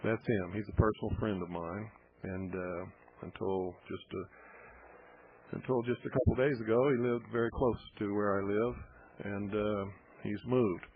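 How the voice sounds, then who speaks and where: A man's voice: lowish voice, fairly even intonation, unhurried, a little hiss or hum. A man hosting a call-in radio talk show, speaking bluntly and growing heated. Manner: blunt, heated when riled. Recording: tinny shortwave radio broadcast.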